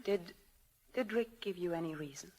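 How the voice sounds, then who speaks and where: An actress playing an anguished young wife torn between two loves, pleading and hesitant, in a 1940s radio drama. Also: female voice, on the low side, moderate pace, a little mellow, lightly acted. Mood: anxious, tender. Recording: old 1940s radio broadcast.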